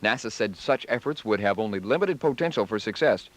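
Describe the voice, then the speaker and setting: A man's voice: lowish voice, expressive, a little fast. An American man working as a radio DJ, delivering music patter and news in a 1970s style. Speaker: radio DJ and newsreader.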